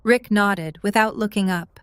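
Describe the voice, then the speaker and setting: A woman's voice: medium pitch, steady tone, unhurried, a bit bright, smooth, dry recording. A woman reading scripted story narration for an audio drama.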